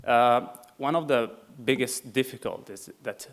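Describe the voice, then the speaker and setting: A man from Syria with a Syrian Arabic accent giving a prepared talk at a meeting, measured and full of pauses. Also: medium pitch. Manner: measured, with many filler pauses. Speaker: refugee speaker at a meeting.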